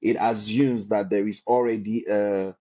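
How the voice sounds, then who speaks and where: A man speaking as a podcast guest over a video call, answering in a measured, earnest way. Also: on the low side, flat delivery, mellow, lo-fi sound. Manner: measured and earnest, slow deliberate phrasing. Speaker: podcast guest, health policy researcher. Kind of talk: reflective conversational answers.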